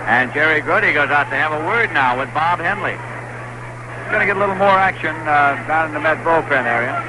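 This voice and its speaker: Male play-by-play announcer, vintage radio, slightly high voice, hissy old broadcast. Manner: brisk, rising excitement on big plays. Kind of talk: baseball radio commentary.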